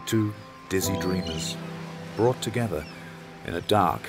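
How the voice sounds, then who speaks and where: A devoted, haunted passenger in an audio play; lowish voice, lyrical and close. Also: male voice, a little slow. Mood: dreamy, tender. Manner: intimate, lyrical storytelling. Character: lovesick male ship passenger, haunted and devoted. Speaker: narrator-protagonist of an audio play. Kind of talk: first-person audio drama monologue. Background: loud music score.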